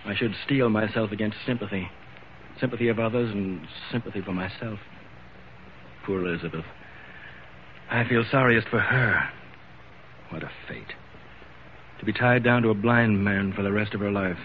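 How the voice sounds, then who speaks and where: A man's voice: lowish voice, moderate pace, pauses often, close to an everyday voice. An actor playing a proud ship owner who is losing his sight and hides it, hesitant and stammering, in old-time radio drama style. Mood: bitter, subdued. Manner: hesitant, stammering, self-deprecating. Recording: tinny, noisy old radio broadcast.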